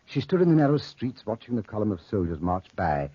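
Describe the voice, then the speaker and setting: An actor playing a wry narrator of a dark desert tale in 1940s radio drama style, theatrical and deliberate. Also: male voice, lowish voice, very animated, slightly rough, no echo. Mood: wry, dramatic. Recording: old lo-fi radio recording.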